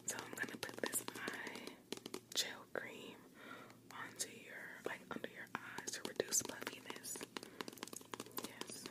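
A woman playing a quiet, doting best friend with a secret obsession, whispering hesitantly in an ASMR roleplay. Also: takes it slowly, pauses often, natural voice. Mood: doting, attentive. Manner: soft, hesitant, doting, repeats phrases gently.

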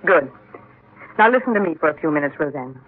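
A woman's voice: deep voice, lively intonation, fairly quick. Casting: a firm, self-possessed stepmother, vintage radio drama, narrow lo-fi recording. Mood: earnest, composed. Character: composed, firm stepmother explaining herself. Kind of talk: 1940s-50s radio drama acting. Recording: tinny old radio recording.

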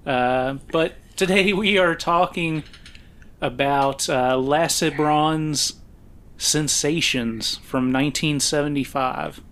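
A man with a slightly higher voice who hosts a podcast in relaxed, casual chat. His humor is dry and he teases his co-host. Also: slow pace.

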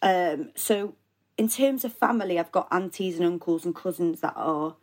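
A woman's voice: lowish voice, moderate pace, a little mellow. A young adult woman from Wales, a guest actor on a podcast, talking casually from a holiday lodge over a remote call, laughing often and trailing off into fillers.

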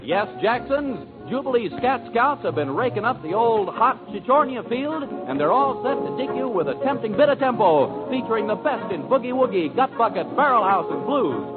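A man's voice: very high voice, very animated, moderate pace, hardly any pauses, over music. A man announcing a 1940s radio show with theatrical, jive-talking showmanship. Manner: theatrical, hep slang showmanship. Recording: tinny old 1940s radio recording.

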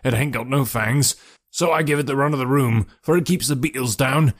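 A man voicing a cranky, lanky old male animal keeper who yells threats and then chats fussily. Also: mid-range voice, a little fast, close to an everyday voice. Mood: fussy, welcoming. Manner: shouting threats, then fussy and chatty.

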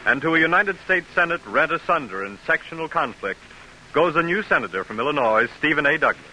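A man's voice: a little high, lively intonation, brisk, pushed and bright, a little hiss or hum, poor audio. A man narrating a historical radio drama in a scripted, dramatic vintage style. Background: music.

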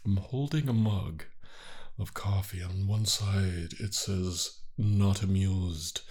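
A man co-hosting a comedy podcast, talking in a deadpan, mock-formal way through improvised banter. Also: sits low, takes it slowly, husky.

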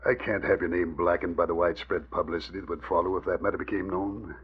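Low, husky, stern father. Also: flat delivery, fast talker. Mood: troubled. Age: middle-aged. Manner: grave, earnest. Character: stern, troubled middle-aged father and district attorney. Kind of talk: 1940s radio acting. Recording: muffled old radio recording.

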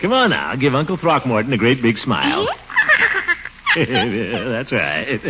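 Swooping, blustery old-radio uncle. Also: male voice, medium pitch, huge pitch swings, takes it slowly. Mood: doting. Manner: hesitant hemming, swooping chuckles. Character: pompous, soft-hearted, blustering middle-aged bachelor uncle. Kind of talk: 1940s radio comedy acting. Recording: noisy, muffled old radio recording.

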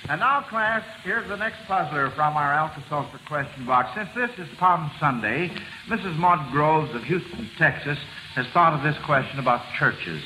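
A man's voice: fairly high pitch, moderate pace, few pauses. A man hosting an old-time radio quiz in a chuckling, fumbling, schoolmasterly way. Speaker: quiz show host. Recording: noisy, crackling old radio broadcast. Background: steady loud hiss and crackle.

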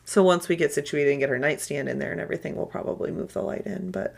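A woman's voice: deep voice, fast talker, gravelly, a little mellow. A woman in her thirties co-hosting a podcast, chatting casually and swearing freely, often trailing off mid-sentence. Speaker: podcast co-host. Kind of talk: casual chat.

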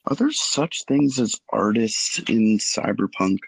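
Man podcaster, deep gravelly voice, dry sound, musing and hesitant. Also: lively intonation, takes it slowly, no room sound, rough recording. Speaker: podcast co-host. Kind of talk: casual chat.

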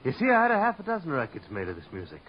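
An affected, dreamy vaudeville dancer. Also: male voice, mid-range voice, wildly swooping pitch, racing, a little hiss or hum. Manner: flowery, affected, self-dramatizing. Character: eccentric young male vaudeville dancer, theatrical, dreamy. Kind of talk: 1940s radio acting. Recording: muffled old radio recording.

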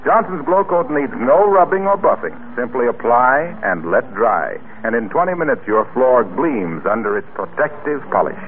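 Lively American old-time radio announcer. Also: male voice, medium pitch, normal speed, hardly any pauses. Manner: polished, emphatic salesmanship. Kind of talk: 1940s radio commercial, scripted pitch. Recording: tinny, hissy old radio recording.

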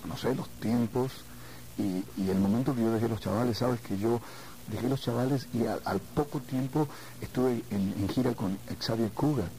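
A mature man from Argentina reminiscing in a conversational interview, haltingly, repeating words as he searches for the next. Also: medium pitch, warm tone, slight background noise, poor audio. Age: middle-aged or older. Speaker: singer being interviewed.

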